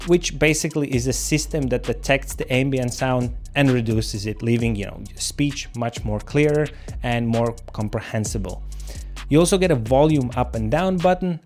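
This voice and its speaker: A man with a medium-pitched voice presents a gear review as a channel host. He speaks casually and explains things step by step, addressing viewers directly. Soft music plays behind his voice. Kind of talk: casual scripted product review to camera. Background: background music.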